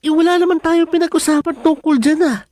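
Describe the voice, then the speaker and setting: An actor playing an evasive, commitment-shy casual lover in a Filipino radio drama, dismissive and exasperated. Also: male voice, very high-pitched, steady tone, fairly quick, darkish tone.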